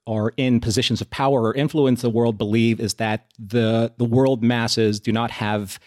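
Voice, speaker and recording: A man's voice: on the low side, dry recording, studio quality. A man speaking as a podcast guest, reflective and wordy, thinking his points out aloud. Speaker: podcast co-host. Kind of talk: reflective conversational commentary.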